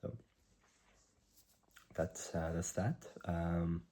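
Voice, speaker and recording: A man in his twenties, a startup founder, recording a rambling video diary at a hushed volume while slightly sick with a cough. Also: sits low, steady tone. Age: 20s. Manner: rambling, halting, self-correcting; keeps his voice down.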